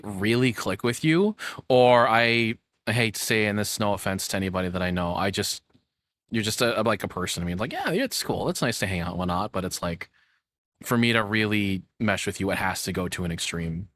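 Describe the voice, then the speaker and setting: A man's voice: on the low side, fairly bright tone, no room sound, clean studio sound. A young man giving casual reaction commentary as a streamer, rambling and frank with some swearing.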